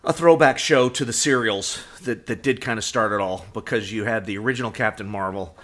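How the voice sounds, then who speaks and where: A man's voice: on the low side, brisk, a bit bright. A man co-hosting a casual podcast chat in a wry, joking manner. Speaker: podcast co-host. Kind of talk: casual chat about superhero shows.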